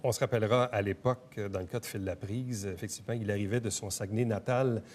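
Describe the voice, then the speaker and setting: Brisk, teasing Quebec interview host. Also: male voice, on the low side, fairly even intonation, a little mellow. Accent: Quebec French. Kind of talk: local web show interview.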